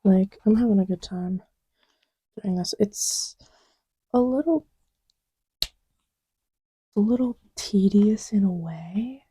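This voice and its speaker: A woman playing an easygoing girlfriend in a soft ASMR roleplay, rambling hesitantly in a breathy murmur that often drops to a whisper. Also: mid-range voice, takes it slowly, long pauses, no room sound. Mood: relaxed, musing. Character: easygoing girlfriend chatting idly while testing markers.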